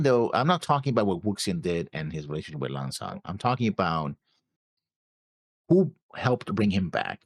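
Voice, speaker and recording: A man co-hosting a reaction show, chatting casually about a series in a halting way, repeating his phrases. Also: lowish voice, no room sound, studio quality. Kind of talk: casual discussion of an animated series.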